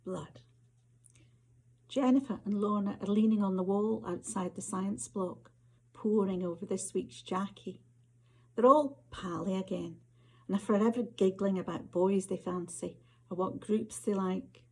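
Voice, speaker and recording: A woman with a Scottish accent reading short stories aloud as an author, her voice husky. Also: medium pitch, measured pace, mellow. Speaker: author reading their fiction.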